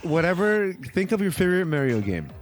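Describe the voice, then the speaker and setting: A man co-hosting a podcast, chatting casually and informally as he thinks out loud. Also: high voice, big pitch swings, a little fast, faint music behind. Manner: loose, informal, thinking aloud. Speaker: podcast co-host. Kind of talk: casual pop culture chat.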